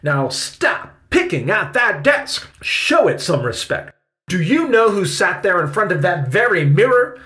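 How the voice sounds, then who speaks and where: Guy doing a bossy theatre lady, high and loud, barking orders, storybook villain type. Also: sing-song, unhurried, heavily acted. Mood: stern, irritated. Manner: barking, commanding. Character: stern bossy theatre stage manager woman, irritable and scolding. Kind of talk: children's storybook character voice.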